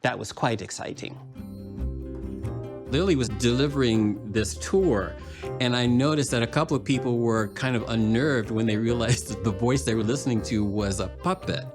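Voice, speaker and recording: An older American man talks as an artist interviewed for a documentary. His delivery is measured and explanatory, at a medium pitch, with music playing behind him. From Washington, D.C., United States. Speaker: puppeteer, artist, museum curator.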